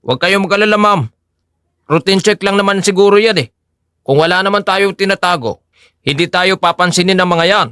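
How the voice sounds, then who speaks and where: Man acting a bright, higher-voiced chatty taxi driver. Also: fairly quick, clear, resonant tone, no room sound, studio quality. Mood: reassuring. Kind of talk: audio drama acting in Tagalog.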